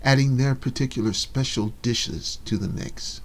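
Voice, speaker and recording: A man's voice: medium pitch, normal speed. A man narrating a scripted short story as its author, reading it aloud.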